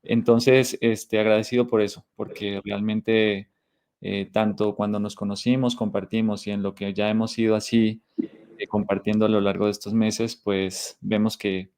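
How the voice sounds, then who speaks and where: A man's voice: on the low side, flat delivery, moderate pace, a little mellow, clear, resonant tone. A Colombian man with a slightly Mexican tinge, speaking as a guest in an online talk, reflective and thoughtful. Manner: reflective, thoughtful, with frequent restarts.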